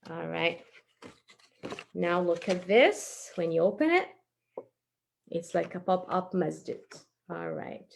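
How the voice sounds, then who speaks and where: A woman's voice: on the low side, animated, slow, vocal fry, pauses often, a little hiss or hum. A woman presenting a children's craft tutorial step by step, informal and encouraging as she talks while working with her hands.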